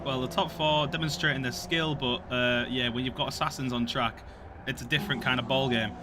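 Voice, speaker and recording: A man with a Yorkshire accent commentating an online race, jokey and quick to burst into excited shouts when cars crash. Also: mid-range voice, background noise. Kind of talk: sim racing commentary.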